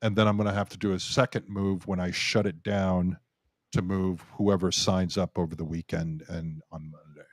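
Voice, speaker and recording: A man's voice: sits low, level tone, normal speed, no room sound, studio quality. A man hosting a live online Q&A, loose and casual, swearing and laughing easily. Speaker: host and site founder. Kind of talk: casual live stream chat.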